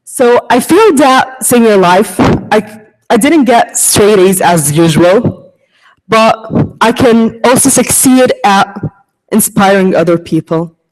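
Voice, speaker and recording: A teenage student speaks very slowly and haltingly, restarting her phrases with nervous laughs. Her voice carries a long hall reverb from the auditorium. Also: mid-range voice, lively intonation. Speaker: student panelist. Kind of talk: personal story on stage. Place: large auditorium stage.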